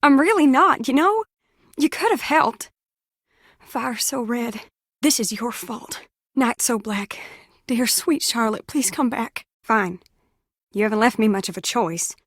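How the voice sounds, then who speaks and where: Troubled, high-voiced young woman. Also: animated, a little slow, pauses often, fairly bright tone, no room sound, studio quality. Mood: defensive, pleading, then anguished. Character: troubled, defensive young woman in hiding, guilt-ridden and pleading. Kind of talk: video game character acting.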